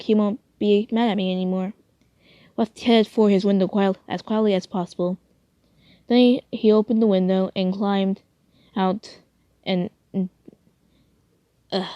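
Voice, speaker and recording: Mellow-voiced woman reading a story aloud. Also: mid-range voice, clean tone, no room sound, lo-fi sound. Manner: informal, stumbles over words and rereads. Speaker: fanfiction reader. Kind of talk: amateur storytelling read aloud.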